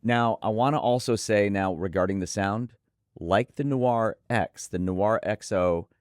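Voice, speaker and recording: A man's voice: on the low side, measured pace, a little mellow, dry recording, clean studio sound. A man hosting a conversational product review in an enthusiastic, emphatic manner. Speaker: host and headphone reviewer.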